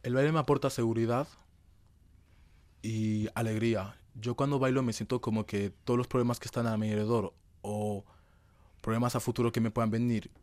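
A man's voice: medium pitch, fairly even intonation, a little fast, darkish tone, no echo. A young man, giving conversational answers as an interview guest. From Madrid, Spain. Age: young adult.

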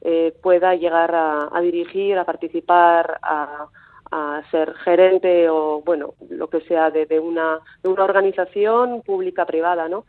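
A woman's voice: fairly low pitch, steady tone, unhurried. A Basque-born woman in her thirties with a Mexican-tinged Spanish accent, a coastal researcher speaking hesitantly with many fillers and restarts. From Basque Country. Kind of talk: phone interview. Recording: tinny phone line.

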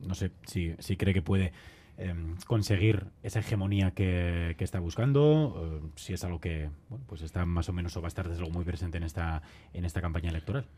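A man's voice: low-pitched, fairly quick, mellow. A man hosting a radio interview, asking probing questions with hesitant fillers. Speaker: radio host. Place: radio studio. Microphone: studio microphone.

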